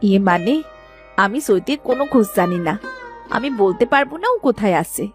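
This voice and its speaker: A guarded village woman, played by a female actor in a cartoon drama. She is chatty yet evasive and nervous, at a medium pitch. Clear music sits behind her. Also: lively intonation, brisk, natural voice. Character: guarded, nervous village sister-in-law keeping a secret. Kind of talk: dramatized Bengali cartoon story dialogue.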